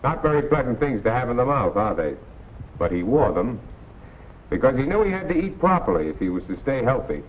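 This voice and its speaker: A man's voice: medium pitch, big pitch swings, fairly quick, slight background noise. Tinny 1940s American male training-film narrator. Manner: plain-spoken, colloquial, admonishing.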